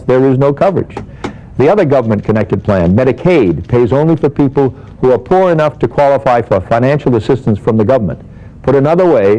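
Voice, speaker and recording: Older male finance expert for an advice segment, dark relaxed tone, formal measured scripted delivery. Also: medium pitch, lo-fi sound. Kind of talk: scripted advisory monologue.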